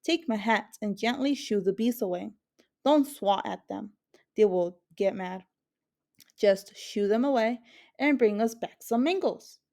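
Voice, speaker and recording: A woman voicing a kindly, encouraging father in a children's storybook, gently questioning and advising. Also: mid-range voice, measured pace, close to an everyday voice. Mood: reassuring.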